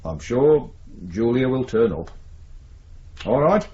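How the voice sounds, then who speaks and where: An actor playing a British police sergeant in a radio drama, brisk, matter-of-fact and colloquial, reassuring yet dismissive. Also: male voice, medium pitch, big pitch swings. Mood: brisk reassurance. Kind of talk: naturalistic radio drama acting. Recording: slightly dull radio drama recording.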